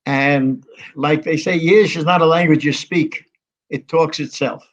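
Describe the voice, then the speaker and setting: A man in his nineties talks in a rambling, halting way, breaking off and restarting his sentences as he reminisces. His voice sits a little high for a man, and the audio is muffled and lo-fi. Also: steady tone. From United States. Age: 90s. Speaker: interview guest. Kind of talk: conversational reminiscing.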